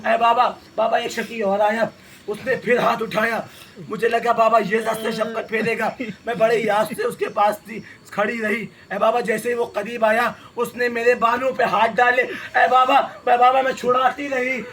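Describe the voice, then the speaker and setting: A man's voice: extremely high pitch, fairly bright tone. A man voicing a wailing grief-stricken little girl. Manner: wailing, sobbing lament. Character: grieving little girl crying for her slain father.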